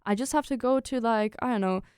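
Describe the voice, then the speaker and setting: Smooth-voiced young woman, chatty. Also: fairly high pitch, fast talker, a little mellow, no room sound, studio quality. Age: young adult. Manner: chatty, peppers talk with "like" and "you know". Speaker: guest, international exchange student. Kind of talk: casual personal storytelling in an interview.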